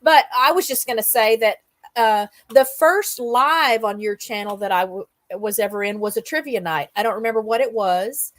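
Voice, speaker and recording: Chatty woman hosting a casual livestream, highish voice, dry sound, rambly and keen. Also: normal speed, fairly bright tone, no room sound. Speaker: host of a livestream roundup show.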